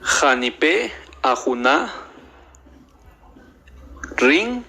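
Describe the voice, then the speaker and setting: A man speaking as a pronunciation model in a lesson audio, saying each word slowly and carefully. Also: on the high side, very animated, creaky, sharp tone, husky.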